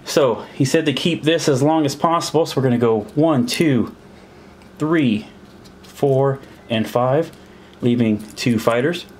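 A man's voice: mid-range voice, unhurried, a little mellow. A man playing a war board game at a table, counting pieces aloud and puzzling over the rules as he goes. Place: indoors at a table.

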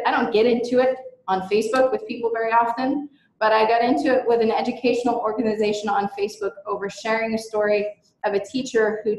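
A woman's voice: a little high, darkish tone. A woman giving a recorded presentation as an educator and advocate, speaking in an earnest, emphatic, conversational way. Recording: very echoey room.

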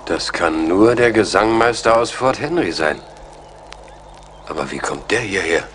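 Casting: weary major in radio drama, deep voice, formal, doubtful, faint noise behind. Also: male voice, lively intonation, measured pace, a bit bright. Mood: puzzled. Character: doubtful, weary army major out of his element. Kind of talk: German radio play acting.

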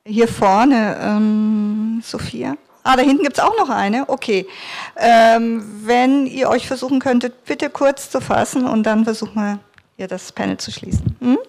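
A woman's voice: fairly high pitch, measured pace, darkish tone. A woman moderating a panel discussion, politely directing the audience, on a handheld mic in a hall. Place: conference hall.

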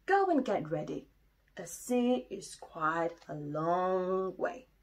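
A woman voicing a calm, patient father bear who speaks slowly and soothingly. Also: fairly low pitch, heavily acted. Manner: slow and soothing.

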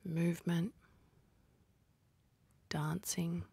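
A woman leading a guided meditation in a soft, husky, breathy voice, speaking calmly and gently with unhurried pauses. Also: fairly low pitch, dry recording. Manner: calm, gentle, unhurried instructing with long pauses.

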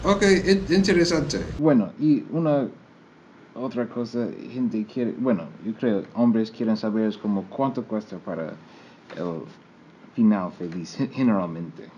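A man with as his hosting a casual outdoor interview in Spanish, halting and searching for words with many fillers. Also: on the low side, darkish tone, muffled recording. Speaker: interviewer and channel host. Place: outdoors on a patio. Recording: background noise.

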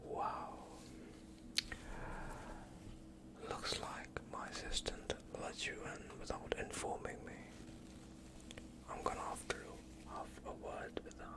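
A young man playing a polite vampire doctor in an ASMR roleplay, soothing and evasive with a sinister undertone, speaking in a hushed voice close to the mic. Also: natural voice. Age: young adult. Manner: hushed, slow, courteous, evasive. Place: quiet indoor studio, dark backdrop. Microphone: close off-screen microphone.

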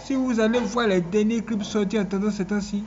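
A man's voice: high voice, fairly even intonation, fairly quick, crowd noise. A man giving reactive commentary, exclaiming and repeating phrases for emphasis. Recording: dull lo-fi sound with faint noise.

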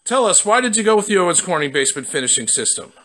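A man's voice: high voice, big pitch swings, quick pace, a bit bright. A man working as a company representative, conducting a promotional testimonial interview from off camera in a basement.

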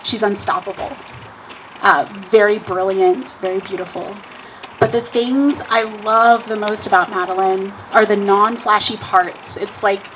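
A woman in her thirties giving a heartfelt, informal wedding speech as maid of honor, speaking earnestly with thoughtful pauses into a handheld mic. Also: mid-range voice, fairly bright tone, low-quality recording. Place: evening wedding party under string lights. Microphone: handheld microphone. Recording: handheld mic with ambient event noise.

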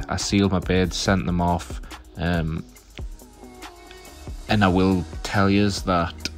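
Low, flat-voiced young man. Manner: rambling, chatty, lots of fillers. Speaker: car vlogger. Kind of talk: casual voice-over vlog.

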